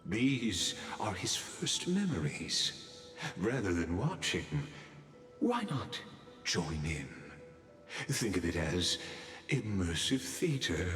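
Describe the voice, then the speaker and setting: A male actor playing a sly, grandly theatrical storyteller in a video game, speaking in a measured, knowing way. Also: deep voice, big pitch swings, a bit bright, exaggerated voice. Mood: knowing, inviting. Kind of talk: scripted dramatic game narration. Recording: echoey hall reverb, music behind.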